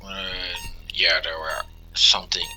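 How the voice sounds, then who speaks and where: Dutch-accented male let's-player, slow and bright, relaxed with fillers. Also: low voice, faint music behind. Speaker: let's play commentator. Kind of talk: casual gameplay commentary.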